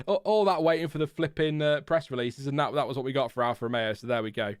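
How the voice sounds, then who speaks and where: A man's voice: medium pitch, a little fast, clean tone, dry recording, clean studio sound. A British man hosting a casual race reaction, thinking aloud and rambling with many fillers. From Britain. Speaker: host.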